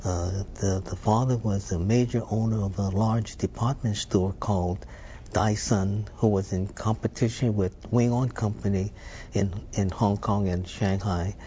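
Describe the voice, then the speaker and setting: Older man, mellow low voice. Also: fairly even intonation, muffled recording, a little hiss or hum. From Hawaii. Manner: unhurried, thoughtful storytelling with filler words. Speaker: interview guest. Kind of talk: reminiscing interview.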